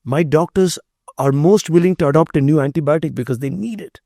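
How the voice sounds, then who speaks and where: A man's voice: on the high side, brisk, vocal fry, warm tone, no room sound, studio quality. A middle-aged man from India, a Tamil speaker, talking about his company as a guest with earnest, emphatic delivery. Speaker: biotech company CEO, guest. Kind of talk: conversational interview.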